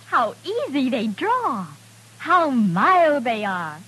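American lady in a 50s radio ad, high voice, slow and very sing-song. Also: sing-song, a bit bright, breathy edge, low-quality recording. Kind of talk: 1950s radio advertisement.

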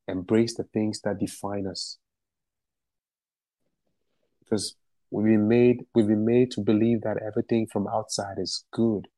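A man's voice: fairly low pitch, mellow, no room sound, poor audio. A man from Ghana, a musician and activist, answering interview questions in a passionate, emphatic way and repeating phrases for stress.

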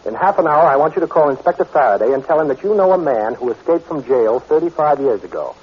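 An American actor playing a cool, good-hearted reformed thief in a 1940s radio drama, wry and assured. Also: male voice, on the high side, animated, fast talker, breathy edge. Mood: reassuring. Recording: muffled old radio recording.

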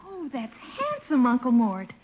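An actress playing an affectionate young married niece in an old radio comedy, sweet and familiar. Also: female voice, sits high, huge pitch swings, unhurried, full character voice. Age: young adult. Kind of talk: 1950 radio comedy acting. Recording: dull old radio broadcast, faint noise.

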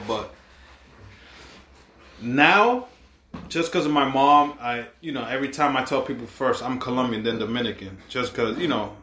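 A man who grew up in Washington Heights, New York, co-hosting a casual podcast chat, animated and joking with frequent laughs. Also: mid-range voice, echoey room, slight background noise. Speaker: podcast co-host. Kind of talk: casual chat over drinks.

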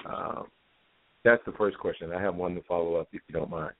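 Deep-voiced man on a dull phone line. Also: fairly even intonation, a little fast. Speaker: caller, university professor. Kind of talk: earnest live call-in discussion. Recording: dull narrow-band phone call.

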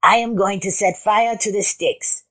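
A woman's voice: medium pitch, steady tone, measured pace, bright, forceful tone, voice pushed up. A woman voicing a confident, helpful male jackal in an animal folktale, matter-of-fact and full of plans.

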